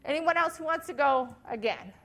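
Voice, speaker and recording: A woman with a Minnesota accent leading a church outreach workshop, chatty and quick to laugh, with lots of "you know". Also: high-pitched, expressive, gravelly, a bit bright. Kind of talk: workshop teaching and role-play.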